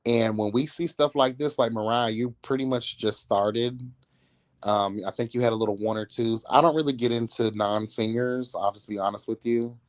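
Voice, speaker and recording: A man's voice: mid-range voice, fairly even intonation, moderate pace, no room sound, studio quality. A man giving casual celebrity gossip commentary as a livestream host, with a sassy, catty, blunt and slangy way of talking.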